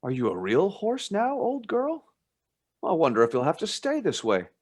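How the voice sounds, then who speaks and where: A man's voice: fairly high pitch, wildly swooping pitch, moderate pace, a little mellow. An actor playing a curious, polite, slightly flustered young man in a radio play. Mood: curious, musing.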